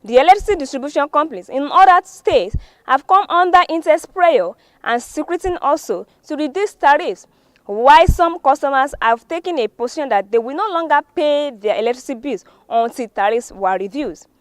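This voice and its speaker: A woman's voice: sits high, expressive, fairly quick, dry recording. A woman with a Nigerian accent presenting a newspaper review, reading formally from the stories with occasional stumbles. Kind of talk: scripted news reading. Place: studio.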